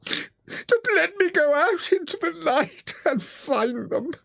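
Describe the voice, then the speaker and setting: A reader voicing an excitable, melodramatic male toad in a storybook. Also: medium pitch, slow, slightly rough, no echo, voice pushed up, heavily acted. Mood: sobbing despair. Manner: sobbing and melodramatic, swooping.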